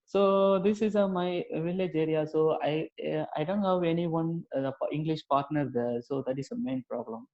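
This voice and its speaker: A man from India with a Tamil accent and Tamil as his native language, speaking halting, hesitant learner English and politely saying "ma'am" often. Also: fairly high pitch, a little mellow. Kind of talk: casual conversation practice. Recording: dull lo-fi call audio.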